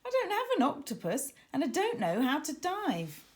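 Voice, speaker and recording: An excitable young girl in a children's storybook, played by a woman, easily alarmed and full of questions. Her voice lifts high as she exclaims. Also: normal speed. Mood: startled. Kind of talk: children's storybook character reading.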